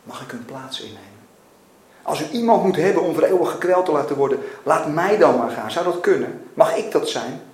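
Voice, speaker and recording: Casting: male reader as a desperate, halting father in a dramatic novel reading, fairly high male voice, roomy sound. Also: expressive, a little mellow. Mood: pleading. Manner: halting, emotional. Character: anguished father, stammering, pleading, desperately refusing. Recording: echoey room with faint noise.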